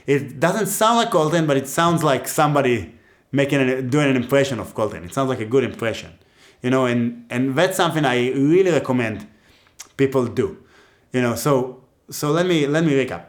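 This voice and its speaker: A man's voice: medium pitch, lively intonation, moderate pace. A man with an Israeli accent teaching music, talking casually and joking as he gives advice. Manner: chatty and joking, with many asides. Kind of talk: casual teaching talk to camera.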